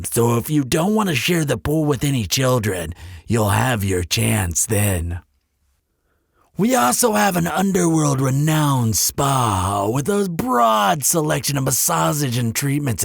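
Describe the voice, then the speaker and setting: A man's voice: medium pitch, sing-song, measured pace, creaky, no echo, studio quality, full character voice. A man voicing a courteous, eager demon hotel receptionist in an audio roleplay, speaking in polished, salesmanlike customer-service patter. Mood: cheerful, informative. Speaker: hotel concierge character. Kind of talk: scripted audio roleplay addressed to the listener.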